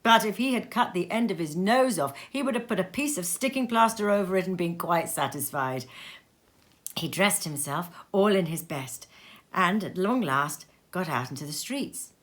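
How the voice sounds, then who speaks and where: A woman reading a classic tale aloud at home in her sitting room, telling it in a lively, expressive way. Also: lowish voice, moderate pace. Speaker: reader.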